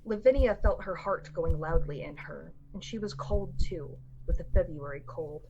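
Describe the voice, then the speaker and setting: A woman's voice: lowish voice, moderate pace, warm tone, lo-fi sound, a little hiss or hum, natural voice. A woman playing the solemn storyteller of an eerie radio play, narrating a scripted tale. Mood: solemn, hushed.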